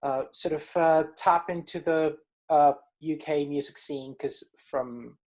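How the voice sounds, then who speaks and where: Man, hesitant and halting. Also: a little high, steady tone, a little mellow. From Caribbean coast of Colombia. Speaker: band musician being interviewed. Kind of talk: casual interview chat.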